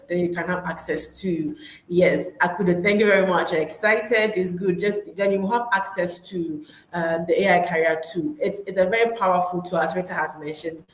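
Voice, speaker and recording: A woman hosting a live online webinar, upbeat, repeating her key points and laughing easily. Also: lowish voice. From Ghana. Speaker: webinar host. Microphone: online call mic. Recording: echoey room, faint noise.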